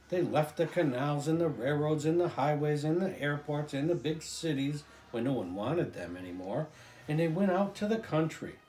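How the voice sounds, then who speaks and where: An older man reads a children's story aloud in a soft-toned, mellow voice. His pitch swings up and down expressively, and he stretches important phrases. The room adds noticeable echo around him. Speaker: storybook reader, grandfather.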